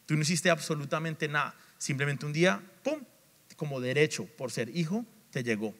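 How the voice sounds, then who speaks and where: A man's voice: a little high, lively intonation, normal speed, fairly bright tone. A male pastor preaching a sermon, emphatic, repeating refrains and asking the congregation questions. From Latin America. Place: church sanctuary. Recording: long church reverb.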